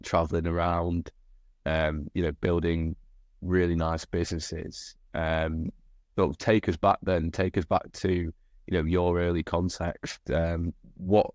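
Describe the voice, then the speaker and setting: A young male host on a video call, his voice very deep and level. The sound is dry, and he circles around his questions, restarting and padding them with fillers. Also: flat delivery, unhurried, a little mellow, no echo. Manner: rambling questions with many fillers. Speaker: podcast host. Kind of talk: interview questions. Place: indoor room.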